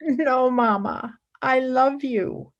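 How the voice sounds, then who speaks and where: A woman playing a devout, resolute woman set on becoming a nun, in a radio play with measured, solemn lines. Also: high voice, dull audio, full character voice. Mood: tender.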